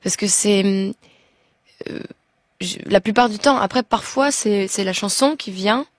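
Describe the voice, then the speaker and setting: A woman's voice: medium pitch, a little slow, dry recording, clean studio sound. A young woman from Belgium with a Belgian French accent, a singer as a radio interview guest, talking hesitantly with many restarts. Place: radio studio.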